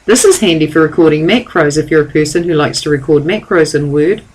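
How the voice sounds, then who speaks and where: A woman giving a calm, step-by-step software tutorial over a screen recording. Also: deep voice, a little fast, breathy edge, low-quality recording. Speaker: software trainer.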